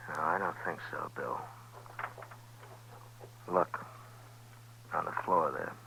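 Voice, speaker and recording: A man's voice: rumbling low, takes it slowly, gravelly, pushed and bright, heavily acted. An actor playing a sober, stoic frontier lawman, terse and matter-of-fact, in a 1950s radio western. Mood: sober, resigned. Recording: humming, noisy 1950s radio recording.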